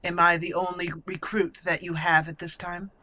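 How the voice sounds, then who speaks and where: A woman voicing a guarded young female mage recruit in a fantasy game roleplay, speaking formally and deliberately. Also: on the low side, flat delivery, sharp tone. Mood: guarded, questioning.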